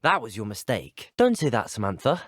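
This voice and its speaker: Fantasy game hero: teenage British wizard student, courteous, self-assured, inquisitive, expressive intonation, close dry recording. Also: male voice, on the low side, brisk, dry recording. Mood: upbeat, confident. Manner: courteous, well-spoken, formal phrasing. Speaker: player character in a fantasy video game. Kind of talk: scripted video game voice acting.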